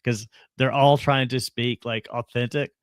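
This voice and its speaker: Southern co-host from South Carolina, animated and emphatic, dry close sound. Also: male voice, medium pitch, unhurried, clear, resonant tone, no echo. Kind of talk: casual sports chat.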